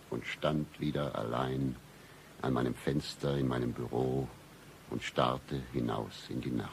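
An actor playing a cynical, deadpan hard-boiled private detective in a satirical noir radio play, with a husky voice. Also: male voice, slight background noise, heavily acted. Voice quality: very deep, husky, gravelly. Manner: deadpan, accusing, melodramatic.

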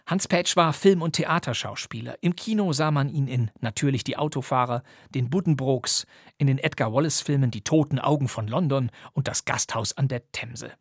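A male podcast host reads a scripted introduction in a husky, medium-pitched voice. The recording is close and dry. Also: moderate pace, dry recording. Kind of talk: scripted presentation.

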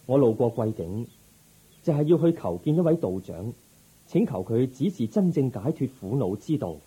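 A man playing a calm, resolute young prince turned monk in a radio drama, speaking in a measured, gentle, formal way. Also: mid-range voice, breathy edge, poor audio. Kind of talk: Cantonese Buddhist radio drama acting.

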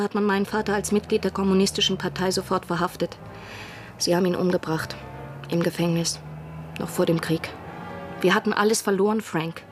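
A woman's voice: mid-range voice, close to an everyday voice. Actress as an earnest female spy in love. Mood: confessing, earnest. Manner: earnest, intense, at times dropping to breathy whispers. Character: desperate female spy in love, pleading and persuading. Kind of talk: German radio drama acting.

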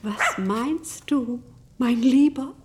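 A woman playing a gentle, genteel country-house lady in a German radio play, with a polite familial manner. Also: a little high, very slow, slight background noise. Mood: mildly urging.

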